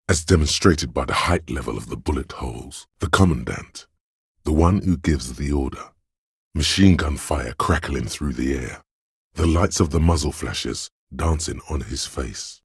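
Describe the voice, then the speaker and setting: Very deep, gravelly analytical inner voice. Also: male voice, no echo, clean studio sound, exaggerated voice. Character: analytical inner voice of a detective's mind, grave observer. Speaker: video game narrator voice. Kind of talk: scripted second-person game narration.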